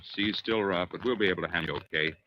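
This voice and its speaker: An actor playing a steady, commanding navy diving officer in an old-time radio drama, giving terse, firm, reassuring orders. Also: male voice, low voice, fast, muffled recording, a little hiss or hum, lightly acted. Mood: reassuring, instructive.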